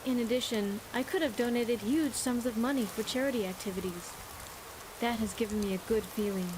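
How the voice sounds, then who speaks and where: A fairly high-pitched young female vault survivor , played by a woman, confides in scripted game dialogue. She is earnest and wistful, with careful, formal wording. Rainy ambient noise sits faintly behind her voice. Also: brisk, lightly acted. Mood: reflective. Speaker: game companion character.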